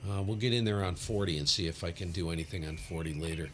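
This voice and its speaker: A man with a very low voice hosts a casual ham radio livestream. He rambles in a laid-back, keen hobbyist way into a studio mic. Faint noise sits behind him. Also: fast. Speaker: livestream host, amateur radio operator. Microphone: big studio condenser.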